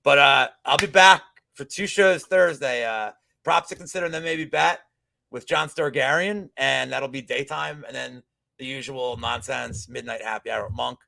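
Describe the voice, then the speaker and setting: A man's voice: mid-range voice, normal speed, creaky, pushed and bright. A man in his forties hosting a casual betting podcast, joking in a loose, rambling way. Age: 40s. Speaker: podcast host.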